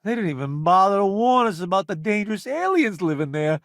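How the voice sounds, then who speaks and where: An actor playing a wry, self-promoting food columnist turned space crewmate in a video game, breezy and full of sardonic wisecracks. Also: male voice, high voice, very animated, brisk, fairly bright tone, dry recording, studio quality, full character voice. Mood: aggrieved.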